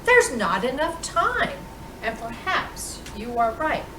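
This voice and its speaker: A woman reading a children's picture book aloud in an expressive, deliberate storytelling manner with dramatic pauses. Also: sits high. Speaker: storytime reader playing Mrs. Claus. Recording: roomy, distant microphone with clear background noise.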